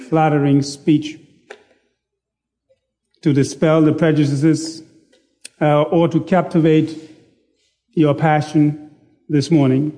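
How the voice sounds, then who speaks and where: Male preacher, warm and dark in tone, deliberate and self-deprecating. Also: on the high side. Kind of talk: sermon. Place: church sanctuary.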